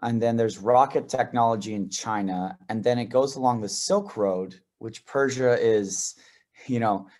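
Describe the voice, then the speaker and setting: A man's voice: mid-range voice, measured pace, a little mellow. A man hosting an interview podcast, posing long conversational questions with frequent "you know" fillers. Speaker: podcast host.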